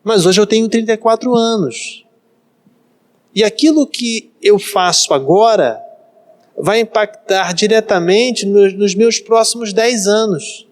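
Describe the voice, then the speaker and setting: A man's voice: sits high, a little slow. A man in his thirties, a pastor from Brazil, preaching a sermon and repeating phrases for emphasis. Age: 30s.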